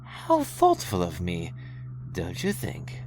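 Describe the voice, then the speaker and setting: An actor playing a sly, mocking alien raider lord in an audio drama, talking with mock politeness and theatrical menace. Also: male voice, low-pitched, huge pitch swings, takes it slowly, darkish tone, full character voice, rough recording. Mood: mocking, self-satisfied. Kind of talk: scripted audio drama acting.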